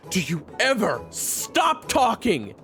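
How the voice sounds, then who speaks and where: A man's voice: lowish voice, sing-song, normal speed, vocal fry, faint music behind. A man playing a stern, irritable monster hunter with a grim temper in an audio drama. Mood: exasperated. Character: brooding, vengeful monster hunter, easily exasperated.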